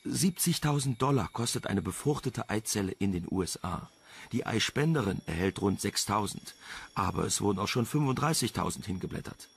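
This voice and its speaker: Crime radio play: suave, sardonic reporter, lowish gravelly voice, music underneath. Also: male voice, lively intonation, brisk, heavily acted. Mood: wry, teasing. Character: wry, flirtatious investigative male journalist. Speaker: radio drama actor.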